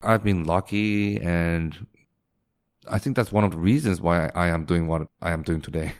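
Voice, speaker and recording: A man's voice: low voice, fairly even intonation, moderate pace. A man who grew up in Singapore, a startup founder chatting casually as an interview guest and often restarting his phrases. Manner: restarts phrases, quotes others in a lighter voice.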